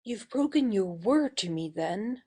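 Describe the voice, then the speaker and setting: Woman playing an imperious, swooping, mellow young mistress. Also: medium pitch, animated, measured pace, breathy edge. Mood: reproachful. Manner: bossy, emphatic. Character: imperious, warm-hearted young lady of the house.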